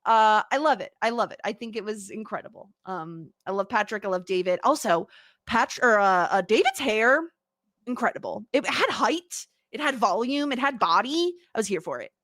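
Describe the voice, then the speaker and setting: A woman in her thirties with an upstate New York accent, co-hosting a podcast in casual chat, bubbly, laughing often and saying "like" a lot, with a slight vocal fry. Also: mid-range voice, lively intonation, normal speed, fairly bright tone, no echo, studio quality. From United States. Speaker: podcast co-host.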